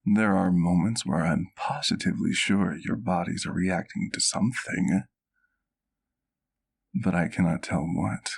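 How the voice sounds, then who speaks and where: A man's voice: sits low, vocal fry, full character voice. A man playing a courtly, doting vampire doctor in an ASMR roleplay, speaking intimately to the listener in formal, precise words. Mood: thoughtful. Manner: formal, precise, measured wording.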